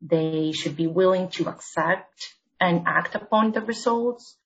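A woman from Argentina speaks as a podcast guest, her voice a little lower than most. She lays out her points in a measured, orderly way, like a consultant walking a client through a plan. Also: fairly bright tone, highs cut off. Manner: measured, explanatory, structured points. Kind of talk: podcast interview.

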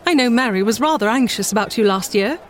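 A gracious, genteel lady from a storybook, voiced by a woman narrator, polite and friendly. Her voice is fairly high, with some background noise. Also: lively intonation, fast talker, close to an everyday voice. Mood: pleased, friendly.

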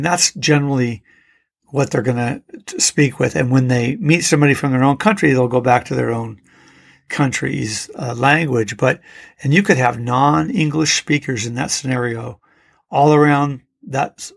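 A middle-aged man with a gravelly, creaky edge to his mid-pitched voice talks through a solo podcast episode. He rambles conversationally, doubling back on himself and leaning on fillers as he goes. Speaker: podcast host. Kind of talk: casual solo podcast talk.

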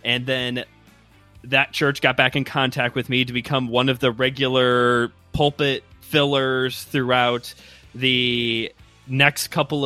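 A man's voice: medium pitch, pushed and bright. A man in his twenties with a Chicago accent, telling a friendly, rambling story as a guest host on a podcast. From Chicago area, US Midwest. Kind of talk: casual podcast chat.

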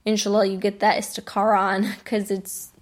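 A woman's voice: mid-range voice, level tone, normal speed. A young American woman hosting a podcast, telling a personal story in a bubbly, chatty way with frequent laughs. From United States. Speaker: podcast host.

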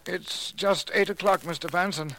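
An actor playing a frightened, deferential doctor in a 1940s radio drama, hesitant and anxious, on a noisy old recording. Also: male voice, high voice, moderate pace, gravelly, music in the background. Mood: nervous, deferential. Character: nervous, frightened male doctor.

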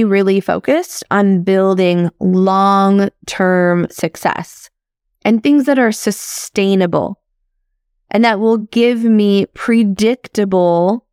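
A woman's voice: medium pitch, steady tone, slow, darkish tone, clear, resonant tone, no room sound, clean studio sound. A woman in her thirties hosting a podcast, talking through personal reflections in a chatty, upbeat way full of casual fillers. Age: 30s. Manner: chatty and upbeat, with frequent "like" and "crazy". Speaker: podcast host.

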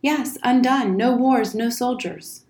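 A woman's voice: fairly high pitch, moderate pace, darkish tone, natural voice. A woman voicing an earnest, stubborn, grieving boy in a storybook reading. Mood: resolute. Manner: earnest, firm, plain-spoken. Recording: roomy, echoing indoor sound.

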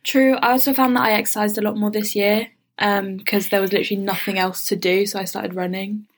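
A woman's voice: mid-range voice, level tone, fairly quick. Upbeat young woman podcaster. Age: young adult. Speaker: podcast co-host. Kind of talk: casual chat.

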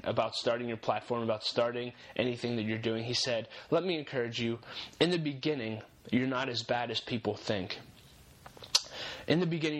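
A man's voice: medium pitch, a bit bright. Earnest young man giving faith-based encouragement. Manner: earnest, encouraging, repeats key phrases for emphasis. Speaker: podcast host.

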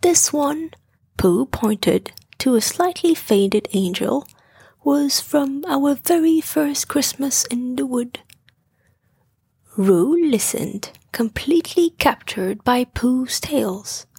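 A woman narrating a bedtime story in a hushed, gentle manner. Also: high voice, animated, slow pace, creaky, breathy edge, no room sound, clean studio sound.

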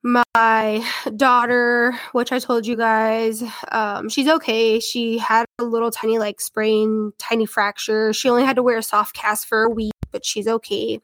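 A woman's voice: fairly high pitch, level tone, unhurried, few pauses, fairly bright tone, no room sound. A young woman with a head cold and a congested voice, hosting a casual true-crime podcast in a chatty, rambling way. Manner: chatty, rambling, filler-heavy. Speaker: podcast host.

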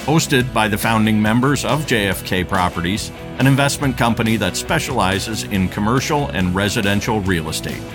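A man announcing a podcast intro in a smooth, scripted delivery with lifted emphasis. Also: medium pitch. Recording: guitar music underneath. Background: guitar music.

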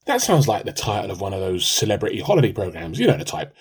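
A man's voice: deep voice, huge pitch swings, fast, slightly rough, exaggerated voice. A man playing a grumbling, drily sarcastic husband at breakfast in a radio play. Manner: dry, wordy sarcasm.

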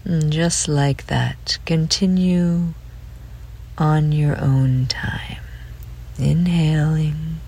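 Very slow, low-voiced woman leading meditation. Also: fairly even intonation. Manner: soothing, gentle, breathy trailing phrase ends. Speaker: guided meditation leader. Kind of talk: slow guided relaxation meditation.

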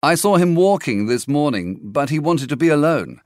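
A woman plays an earnest young nobleman explaining what happened and offering help. The voice sits lower than her own and moves up and down lively. Dry, close sound. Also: normal speed, no room sound. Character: young male friend Benvolio, helpful and earnest.

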